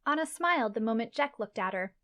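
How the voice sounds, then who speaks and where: A woman's voice: on the high side, animated, quick pace, fairly bright tone. A woman reading scripted fantasy story narration as the narrator of an audio play.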